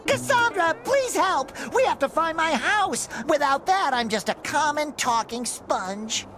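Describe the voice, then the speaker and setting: A man voicing an eager, childlike, naive talking sea sponge in an animated game cutscene, earnest and excitable. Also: extremely high pitch, a little slow, faint music behind, exaggerated voice. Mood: eager pleading.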